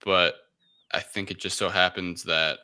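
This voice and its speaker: A man podcast host casually recapping a story, halting as he searches for words. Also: low voice, steady tone, sharp tone, smooth. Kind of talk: casual spoken movie plot recap.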